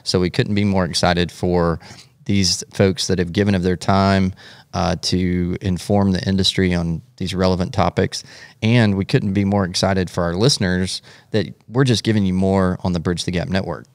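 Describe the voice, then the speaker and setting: A man's voice: low-pitched, flat delivery, normal speed, no echo, clean studio sound. A man co-hosting a podcast, speaking conversationally to listeners. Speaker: podcast co-host.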